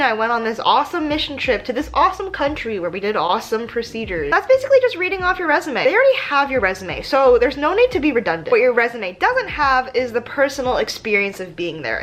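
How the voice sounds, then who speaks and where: A woman's voice: fairly high pitch, fairly bright tone. A young American woman in her twenties talking casually as a vlog host, chatty and playfully self-mocking, with dramatic emphasis and plenty of tips. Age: 20s. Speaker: YouTube host, dental student.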